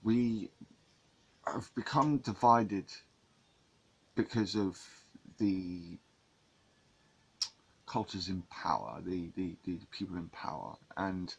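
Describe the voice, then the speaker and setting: A man's voice: deep voice, animated, slow, vocal fry, long pauses, a little hiss or hum, low-quality recording. A man from England talking in a casual monologue to camera, rambling with many restarts and repeated words. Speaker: vlogger.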